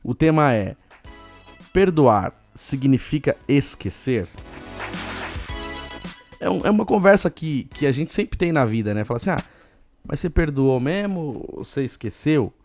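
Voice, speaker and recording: A man with a Brazilian accent hosting a casual solo podcast, hoarse and chatty, giving listeners shout-outs. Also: on the high side, big pitch swings, a little slow, long pauses, soft background music. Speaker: podcast host.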